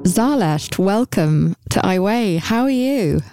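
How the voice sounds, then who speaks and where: A woman's voice: medium pitch, lively intonation, takes it slowly, soft background music. A woman hosting an interview podcast, introducing her guest effusively and speaking appreciatively. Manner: warm-hearted, effusive, appreciative. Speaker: podcast host.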